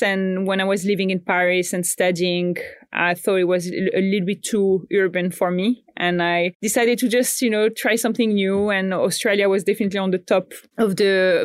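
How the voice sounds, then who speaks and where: A woman's voice: mid-range voice, no room sound. Woman, 30s, raised in Morocco, easy mid-range voice, reflective and self-correcting, close and dry. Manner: thoughtful, frequent restarts and fillers. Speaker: founder and guest. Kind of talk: casual interview chat.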